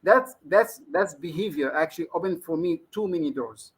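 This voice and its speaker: A man's voice: a little high, normal speed, slightly rough. Egyptian man chatting, "you see" habit. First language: Arabic. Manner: chatty, keeps adding "you see", laughs easily. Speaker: guest trainer and instructor. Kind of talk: casual live interview chat.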